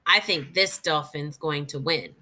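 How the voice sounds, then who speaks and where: A woman reading aloud in an expressive, instructive way, as a teacher does for young children, pausing to think through questions. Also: deep voice.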